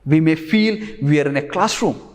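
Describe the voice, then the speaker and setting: A man in his thirties giving a recorded English lecture in front of a screen, gesturing a lot and pausing with many fillers, on a lapel mic. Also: fairly high pitch, long reverb tail. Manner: animated, gesturing with both hands, many fillers. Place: studio in front of a large presentation screen.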